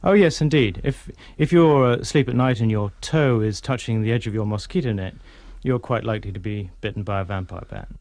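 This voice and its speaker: A male zoologist explains facts plainly in interview answers. His voice is on the low side, and it sounds dry and close. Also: fairly quick, few pauses, a little mellow, no echo. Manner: matter-of-fact, explanatory.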